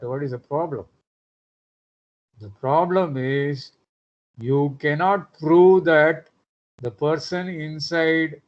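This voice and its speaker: Slow, hesitant male lecturer. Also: on the high side, darkish tone, lo-fi sound. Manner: hesitant with frequent "uh", rhetorical tag questions. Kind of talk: online lecture.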